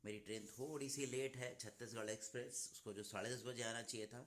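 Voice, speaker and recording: A man vlogging casually to camera in a room at night, his throat slightly hoarse, narrating his plans calmly. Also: mid-range voice, brisk. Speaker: vlogger, husband. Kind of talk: casual travel vlog to camera.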